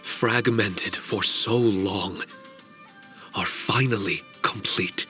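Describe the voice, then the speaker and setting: A man voicing an ancient male guardian spirit in a video game cutscene, formal, measured and grave. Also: on the low side, bright, forceful tone, soft background music, full character voice. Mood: solemn.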